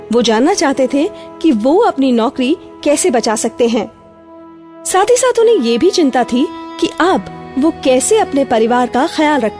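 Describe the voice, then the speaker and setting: A woman's voice: high-pitched, a little mellow. A woman hosting a radio show, reading a script in a conversational way that addresses listeners directly.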